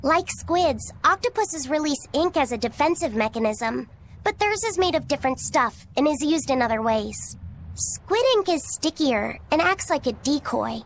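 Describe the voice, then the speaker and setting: High-voiced, earnest young boy. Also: fairly bright tone, a little hiss or hum. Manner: earnest, precise, defensive when teased. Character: earnest, bookish, easily flustered young boy. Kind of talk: English video game voice acting.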